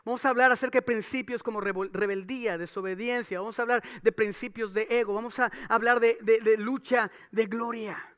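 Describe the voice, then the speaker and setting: A man's voice: high-pitched, steady tone, a little fast, few pauses, a bit bright, smooth. A man preaching a sermon, asking the congregation questions and building to emphatic calls. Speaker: pastor.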